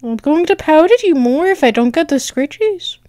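A woman performing an intimate ASMR roleplay, bashful, halting and softly affectionate, close to the microphone. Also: sits high, lively intonation, no room sound.

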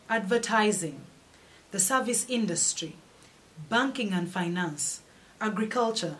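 Ugandan woman, young, slow formal speech to the nation, steady declaiming, mid pitched. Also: poor audio. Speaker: political candidate. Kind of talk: prepared campaign speech. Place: indoors, in front of flags. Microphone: fixed camera, head and shoulders.

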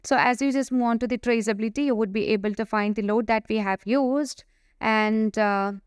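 A woman presenting a software tutorial, explaining step by step in a halting, improvised way over a screen recording. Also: on the high side, fairly even intonation, clear, resonant tone, dry recording, clean studio sound. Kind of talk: spoken walkthrough of a software demo.

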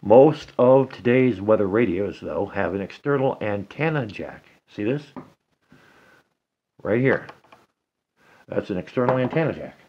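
A middle-aged man giving a casual hands-on repair tutorial at a table indoors, talking slowly and haltingly, half to himself as he works. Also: lowish voice, lively intonation, breathy edge, muffled recording. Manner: slow, halting, talks to himself while working. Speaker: DIY hobbyist demonstrating a repair.

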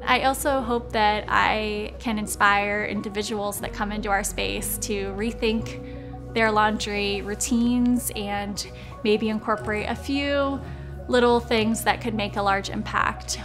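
A woman speaks as a small business owner in a documentary-style interview. Her delivery is earnest and conversational, at an average pitch for a woman. Music plays clearly underneath her voice. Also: fairly even intonation, a little slow. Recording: music bed behind the voice. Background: background music.

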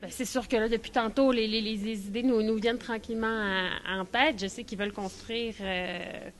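A woman's voice: fairly high pitch, steady tone, normal speed, a little hiss or hum. A woman in her thirties with a Québécois accent, a parent talking animatedly in a vox pop. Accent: Québécois French. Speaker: parent of a young child. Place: indoors, church hall before a mural.

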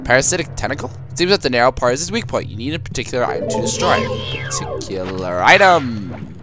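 A man's voice: mid-range voice, quick pace. Man with a cold reacting to gameplay. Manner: animated, exclamatory reactions. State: has a cold. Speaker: Let's Play gamer. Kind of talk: casual gameplay commentary. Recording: game music behind the voice.

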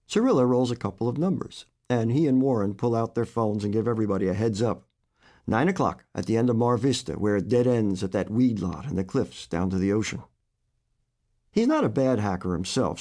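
A man, an author, reading his own short story aloud in an expressive, animated storytelling manner. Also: lowish voice.

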